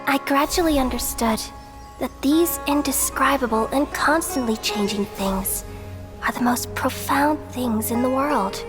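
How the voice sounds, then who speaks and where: A woman playing a serene, benevolent goddess in a video game, telling her story in a solemn, tender, measured way. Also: high voice. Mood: tender, wistful. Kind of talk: English video game voice acting. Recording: music behind the voice. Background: clear background music.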